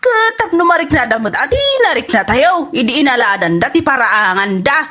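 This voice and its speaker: A woman's voice: sits high, big pitch swings, moderate pace, pushed and bright, heavily acted. A woman with an Ilocano accent playing a gossipy, spiteful neighbor in a radio comedy drama. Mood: gossipy, indignant.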